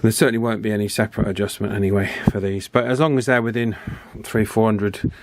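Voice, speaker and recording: Low-voiced man, chatting through a repair. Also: steady tone, quick pace, a little hiss or hum. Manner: chatty, unscripted, tag questions. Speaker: host of an electronics repair channel. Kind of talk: casual hands-on repair commentary, talking while working.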